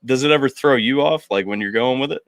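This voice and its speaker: A man hosting a casual music interview, talking in an animated way with lots of "like" and "you know". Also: medium pitch, fast, no room sound. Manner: animated, filler-heavy, lots of "like". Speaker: podcast host.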